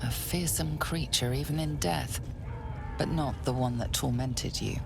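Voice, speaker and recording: Low, creaky woman narrating a dark game. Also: background noise. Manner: measured, ominous, intimate. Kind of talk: second-person game narration.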